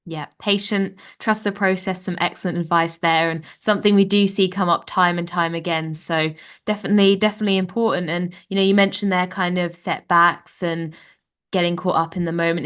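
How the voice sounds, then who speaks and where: A woman's voice: on the low side, fairly even intonation, a little fast, a bit bright, clear, resonant tone. A young woman hosting a podcast interview. She reads a scripted intro and then chats in an upbeat, encouraging way, full of praise. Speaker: podcast host.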